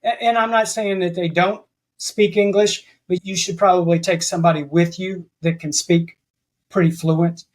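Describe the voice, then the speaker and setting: A man with a fairly high voice chats as a guest on a podcast. He rambles and restarts his sentences as his thoughts catch up. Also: steady tone, normal speed. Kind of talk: casual interview chat.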